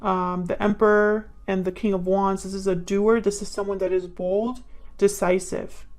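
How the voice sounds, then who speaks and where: A woman giving an improvised tarot reading to viewers, musing and thinking aloud in a halting way. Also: medium pitch, level tone, darkish tone. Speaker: tarot reader, host.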